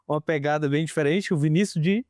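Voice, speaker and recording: A man's voice: fairly high pitch, fast talker, a little mellow, dry recording, clean studio sound. A man hosting a university podcast, asking questions in a friendly, conversational way. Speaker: podcast host. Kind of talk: interview hosting.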